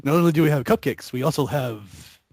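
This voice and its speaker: A man's voice: on the high side, lively intonation, brisk, gravelly, darkish tone. A young man chatting casually in a group, explaining things in a somewhat hesitant way. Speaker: member of an online gaming video group.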